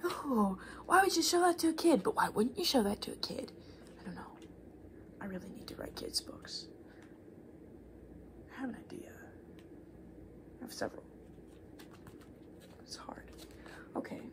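A woman in her twenties softly reading children's books and chatting in an informal, rambling ASMR style, often dropping to a whisper. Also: sits high, very animated, measured pace, long pauses. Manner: rambling, informal, gently chaotic asides. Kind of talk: soft-spoken ASMR reading and casual chat. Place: small living room, indoors.

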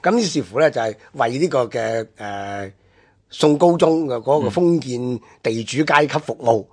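A man's voice: mid-range voice, expressive, normal speed, a little mellow. A man with a Hong Kong Cantonese accent explaining things conversationally, as a commentator on a radio history programme.

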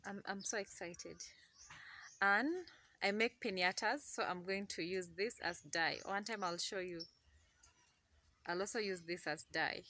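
A young Ugandan woman with a Ugandan accent, chatting excitedly to a phone camera in her outdoor garden. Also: medium pitch, measured pace, pauses often. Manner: excitable, chatty, laughs often. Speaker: gardening vlogger. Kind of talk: casual chat to camera. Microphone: phone camera held close.

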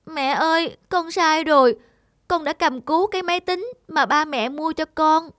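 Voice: high voice, unhurried, fairly bright tone, dry recording, clean studio sound. A performer voicing a sad, guilty schoolboy in a cartoon, hesitant and apologetic. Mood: remorseful. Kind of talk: animated drama dubbing. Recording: music under the voice.

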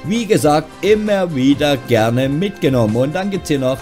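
A man's voice: on the high side, expressive, normal speed, a little mellow. A man giving casual, tongue-in-cheek gaming news in a chatty, wry, informal way. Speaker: host of a gaming news show. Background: background music.

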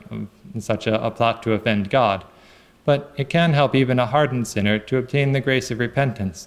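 Halting priest in an echoey church. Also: male voice, medium pitch. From England. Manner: halting, repeats words, many "you know" fillers. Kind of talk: sermon. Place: large church sanctuary. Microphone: lectern microphone. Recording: echoey church with long reverb.

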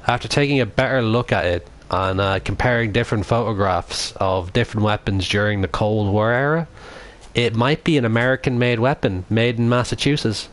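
A man's voice: on the low side, a little fast. A young Irish man from Dublin commentating his game live, rambling, swearing and answering chat. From Ireland. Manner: rambling, swears often, reads chat aloud. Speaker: livestreaming gamer. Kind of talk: casual live commentary while playing.

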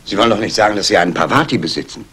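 Low-voiced, sardonic police inspector. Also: male voice, animated, rapid-fire, a bit bright, husky. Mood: probing. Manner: dry, probing, wry. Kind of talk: 1960s German radio drama acting.

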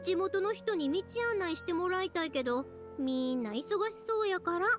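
A woman's voice: very high voice, moderate pace, faint music behind. A woman playing a cheerful, chatty young fireworks maker in a video game, speaking expressively with a Kansai accent. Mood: chatty, thoughtful. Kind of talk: animated video game voice acting.

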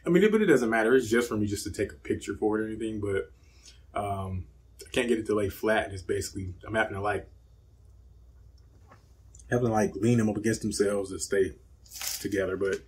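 Low-voiced man, relaxed rambling cooking host. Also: fairly quick, long pauses, husky. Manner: relaxed, rambling, filler-heavy explaining. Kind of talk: casual cooking voice-over and taste test. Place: indoor home set with plain backdrop.

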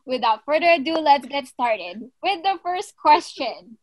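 A woman's voice: high-pitched, lively intonation, unhurried, background noise. A woman in her twenties, from the Philippines and raised in Canada, hosting a casual video-call chat, bubbly and giggly. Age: 20s. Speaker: channel co-host, question asker. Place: home room, indoors. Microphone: video call webcam.

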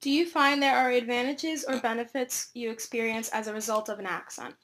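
A woman interviewer reading prepared questions in a steady, even way. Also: a little high, fairly quick.

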